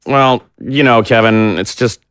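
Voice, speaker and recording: An actor playing a soft-spoken, hesitant middle-aged father in an audio drama. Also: medium pitch, a little slow, clean tone, no echo, clean studio sound, lightly acted. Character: gentle, evasive middle-aged father and tailor.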